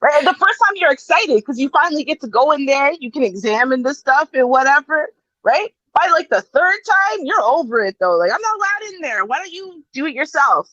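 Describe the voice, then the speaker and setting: A woman's voice: sits high, brisk, dry recording, muffled recording. A Canadian woman with a faint Jamaican lilt, chatting casually on a livestream and laughing as she tells stories. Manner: laughing, animated storytelling.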